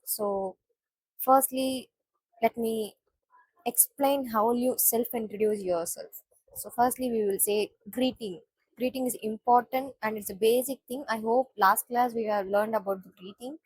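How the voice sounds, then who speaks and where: A woman's voice: fairly high pitch, a little mellow, husky, low-quality recording. A woman from India, teaching a beginner Japanese lesson, repeating each phrase and explaining word by word. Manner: repetitive, explains each word slowly with many "so" restarts.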